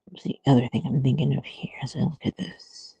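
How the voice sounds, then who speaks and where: A woman's voice: very deep voice, steady tone, brisk, creaky, warm tone, slightly rough, no room sound. A woman thinking aloud in casual livestream art commentary, musing and often trailing off mid-thought. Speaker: artist streamer thinking aloud while drawing.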